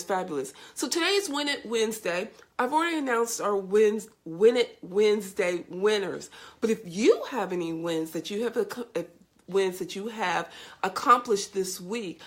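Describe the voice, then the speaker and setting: A woman's voice: mid-range voice, fairly bright tone. A woman hosting a casual live stream, upbeat and effusive, greeting viewers by name, with a congested, scratchy voice from a cold. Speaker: host of a live video show.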